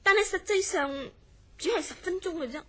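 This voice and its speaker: An actress playing a curious, easily astonished woman in a horror radio drama, speaking in an expressive, questioning way. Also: extremely high pitch, fairly quick. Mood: earnest, mysterious. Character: curious, astonished woman recounting a friend's strange dreams. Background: background music.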